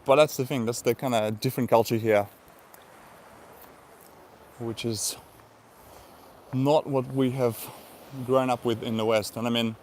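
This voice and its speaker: An easygoing man with an Eastern European accent talks to his live viewers in a loose, rambling way, laughing often and filling his pauses with "uh" and "um." His voice sits at an ordinary middle pitch for a man, with faint outdoor hiss behind it. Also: steady tone, darkish tone. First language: Russian. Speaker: vlogger. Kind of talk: casual live-stream chat.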